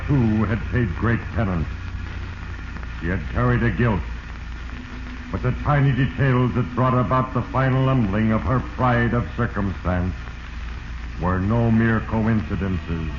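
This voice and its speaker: A man's voice: mid-range voice, unhurried, background noise, lightly acted. Man acting sinister omniscient Fate figure, portentous narration, echoey, muffled old radio recording. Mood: ominous. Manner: heavy-handed, portentous. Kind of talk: scripted 1940s radio drama narration.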